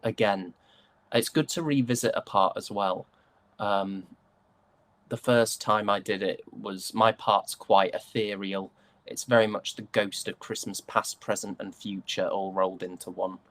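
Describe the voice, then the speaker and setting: A man's voice: on the low side, steady tone, a little slow, a bit bright. A British man talking casually as an interview guest, thoughtful and enthusiastic about his craft. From United Kingdom. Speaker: interview guest, stage and voice actor.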